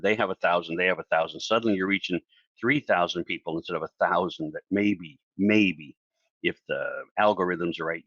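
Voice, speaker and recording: A man teaching public speaking in a casual solo video, talking in a chatty, animated way and acting out the voices of the people he quotes. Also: low-pitched, dry recording, highs cut off. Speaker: speaking coach.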